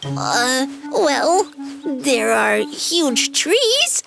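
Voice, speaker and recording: High, whiny little lost piglet. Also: female voice, big pitch swings, extremely slow, gravelly, music in the background, heavily acted. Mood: pleading, worried. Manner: plaintive, childlike, hesitant. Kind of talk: animated fairy tale voice acting.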